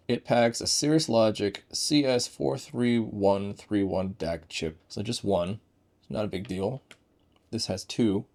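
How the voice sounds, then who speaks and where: A man's voice: lowish voice, darkish tone. A man giving a casual, unscripted gadget review in a chatty way, reading details aloud and adding his own asides.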